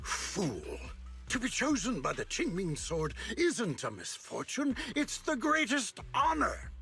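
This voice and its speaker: A man's voice: sits high, wildly swooping pitch, slow, vocal fry, bright, forceful tone, heavily acted. An actor playing a stern, zealous older man, a martial sect elder in a video game, scolding and grandiose. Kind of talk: dramatic video game voice acting. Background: music.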